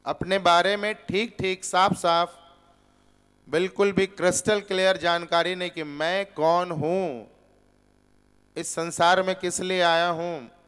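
A man in his forties giving a spiritual discourse in a temple hall, teaching slowly and deliberately with rhetorical questions into a stand microphone. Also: sits high, steady tone, fairly bright tone, smooth. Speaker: preacher. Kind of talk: Gita discourse. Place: temple hall with seated audience, indoors.

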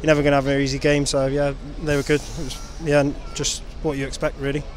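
A man's voice: fairly high pitch, completely flat delivery, quick pace. A man in his twenties, a footballer giving casual answers in a post-match interview. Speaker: professional footballer. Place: outdoors outside a stadium, people around. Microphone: handheld interview microphone. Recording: outdoor recording with background noise.

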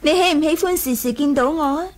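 A very high-pitched young princess acted by a woman in a radio play. She is proud and imperious, yet coquettish and teasing, with a wistful edge to her probing manner. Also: fast talker, close to an everyday voice. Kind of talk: Cantonese 1980s radio drama acting.

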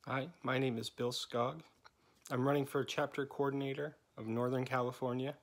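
A man in his thirties from New England introducing himself as a candidate, talking loosely with many pauses and restarts, filmed on a phone. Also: mid-range voice, level tone. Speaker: candidate for chapter coordinator. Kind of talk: unscripted campaign introduction. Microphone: phone held at arm's length.

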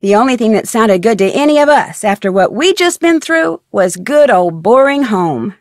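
A woman's voice: fairly high pitch, animated, moderate pace, no echo, studio quality. A woman playing a young amateur detective who narrates her adventure in a video game in a conversational, wry way.

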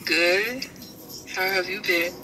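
A woman's voice: fairly low pitch, takes it slowly, over music. A young American woman talking casually, heard through a phone speaker. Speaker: phone call recipient. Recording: noisy phone speaker in a car.

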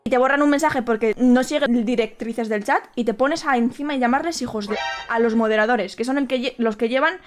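A young Spanish woman with a bright, high voice and a Madrid accent, reading chat logs aloud in a streaming room. She talks in an animated, sarcastic way and breaks into sudden squeals. Faint music plays behind her. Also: brisk, few pauses. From Spain.

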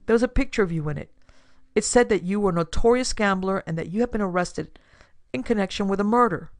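A narrator voicing a distressed, earnest young woman with a halting, pleading manner in an audiobook story. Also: mid-range voice, no echo, clean studio sound, lightly acted. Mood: anxious, pleading.

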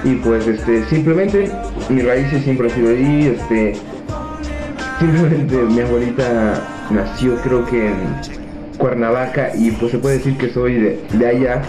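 A sixteen-year-old Mexican boy from Puebla, talking softly and hesitantly into a webcam in a small office, with many fillers. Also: medium pitch, a little slow. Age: teens. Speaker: young reggaeton artist. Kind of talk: self-interview to webcam. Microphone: webcam at desk. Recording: echoey room with music behind.

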